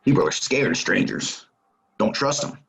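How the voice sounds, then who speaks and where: A low-pitched, menacing drifter from an Old West radio play, acted by a man. He speaks tersely and probingly, suspicious of everyone, with threat sitting just under the words. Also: big pitch swings, normal speed, vocal fry, fairly bright tone, full character voice. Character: menacing, distrustful gunslinger stranger in a Western town. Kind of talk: Western audio play acting.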